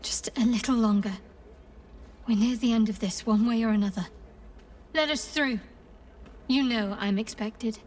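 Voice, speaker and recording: A woman's voice: on the high side, normal speed, long pauses, darkish tone, close to an everyday voice. A woman playing a guarded young cleric adventurer in a fantasy game, dry and determined. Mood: determined, tense. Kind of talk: video game voice acting. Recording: faint background noise.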